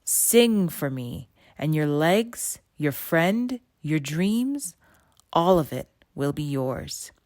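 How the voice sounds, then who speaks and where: A scheming, sinister sea witch from a children's storybook, acted out by a woman narrator. The voice is low and rasping, and the words come slowly, with menace and command. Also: big pitch swings, darkish tone, no room sound, studio quality, full character voice. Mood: coaxing, menacing. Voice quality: croaky, rasping.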